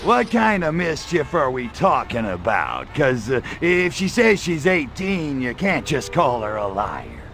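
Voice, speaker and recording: A man voicing an irritable, lecherous little alien invader in a video game, gravelly, with a sarcastic, slangy tough-guy delivery. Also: medium pitch, wildly swooping pitch, unhurried, hardly any pauses, fairly bright tone, soft background music, heavily acted. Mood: wry, cocky. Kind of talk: video game cutscene acting.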